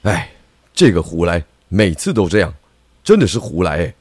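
Deep, husky pig detective. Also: male voice, sing-song, normal speed, darkish tone, no echo, studio quality, heavily acted. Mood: indignant. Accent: Taiwanese. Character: indignant, righteous male pig detective. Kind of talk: children's radio drama.

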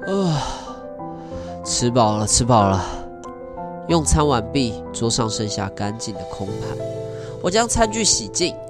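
An actor playing a pompous, callous restaurant tycoon in an audio drama monologue. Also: male voice, mid-range voice, sing-song, measured pace, over music. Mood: nonchalant, detached. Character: smug, callous gourmet restaurant tycoon, indifferent man. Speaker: actor and first-person narrator.

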